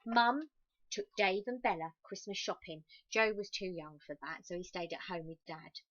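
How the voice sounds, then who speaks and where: Expressive woman reading to children, slightly muffled. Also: on the low side, normal speed, dry recording. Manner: expressive, with emphasized words and soft confiding asides. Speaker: storyteller reading a picture book to children. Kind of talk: read-aloud children's story with chatty asides.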